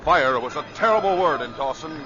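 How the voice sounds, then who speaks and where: A man narrating an old-time radio adventure in a dramatic, measured storytelling style. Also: a little high, animated. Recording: dull, noisy vintage radio recording.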